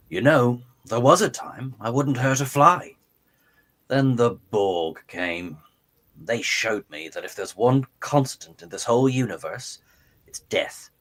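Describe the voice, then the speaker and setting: A man's voice: fairly low pitch, a bit bright. A man playing a smug, coldly obsessed mad-scientist villain in an amateur radio play, theatrical and taunting.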